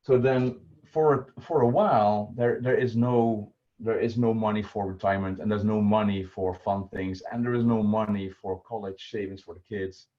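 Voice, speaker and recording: A man's voice: lowish voice, fairly even intonation, moderate pace, few pauses. A middle-aged man with a Dutch accent, explaining budgeting in a casual livestream with many fillers and restarts. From Netherlands. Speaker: personal finance presenter. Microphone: video call. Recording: muffled lo-fi video call audio.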